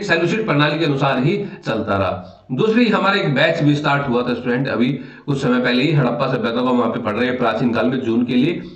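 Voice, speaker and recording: A man with an Indian accent and a slightly high voice lectures like an exam coach. He repeats key phrases and talks directly to his students. The sound is roomy and echoey, picked up some way from the microphone. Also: a bit bright. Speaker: history teacher for exam aspirants. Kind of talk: exam question review lecture. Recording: dull, echoey room, distant microphone.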